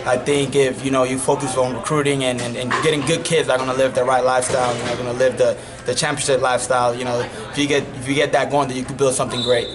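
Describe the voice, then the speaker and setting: A young man from the Dominican Republic, a native Spanish speaker, giving a relaxed post-match interview as a college wrestling coach, full of you-knows. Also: mid-range voice, fast talker, few pauses, music in the background. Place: wrestling tournament arena. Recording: loud arena crowd behind. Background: crowd noise.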